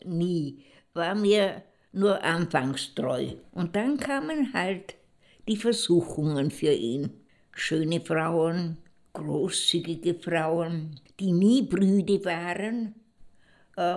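A woman playing a wry older former lover who looks back deliberately on her life in an audio drama. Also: lowish voice, a little mellow.

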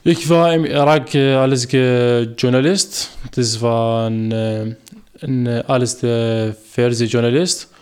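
Man, twenties, from Iraq, halting learner's German, frequent fillers, radio interview guest. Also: mid-range voice, fairly even intonation, a little mellow, clean tone. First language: Arabic. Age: 20s. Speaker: guest, former journalist.

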